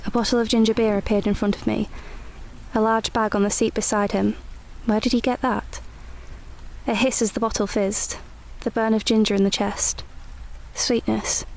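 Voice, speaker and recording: An actress playing a guarded, independent young Edwardian woman, narrating a radio play in a measured, intimate, literary way. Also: medium pitch, level tone, creaky, a little mellow, slight background noise, natural voice, low-quality recording. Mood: anxious, resolute. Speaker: narrator and lead actor. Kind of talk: scripted first-person radio play narration.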